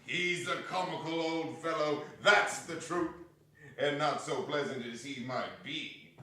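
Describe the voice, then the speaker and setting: A man playing a hearty, good-natured Victorian nephew in a dramatic reading, booming and emphatic. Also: measured pace, bright, forceful tone, exaggerated voice. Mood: amiable, emphatic. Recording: echoey hall, distant mic, faint noise.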